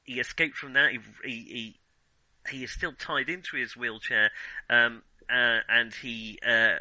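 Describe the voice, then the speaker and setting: Very bright, forceful man in dry sound. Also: medium pitch, fairly even intonation, unhurried, dry recording, low-quality recording. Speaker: podcast co-host. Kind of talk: casual film discussion.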